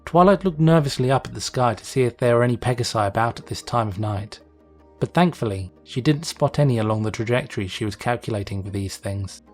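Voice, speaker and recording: A man's voice: medium pitch, expressive, fairly quick, darkish tone. A man narrating a story as a scripted, dramatic reading. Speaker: fan fiction reader, narrator. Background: music.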